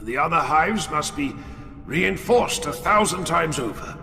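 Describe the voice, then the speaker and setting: A man's voice: a little high, expressive, a little slow, vocal fry, bright, forceful tone, full character voice. A man voicing an ancient, grim commissar full of piety and hate, speaking in grave, foreboding declarations in a dramatic war story. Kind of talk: dramatic audiobook character acting. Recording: long hall reverb, music underneath.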